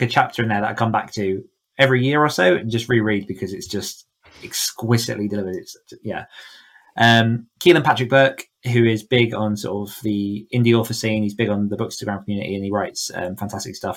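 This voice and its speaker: A man speaking casually as an interview guest, talking in an enthusiastic, effusive way. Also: on the low side. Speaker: podcast guest, horror author and coach.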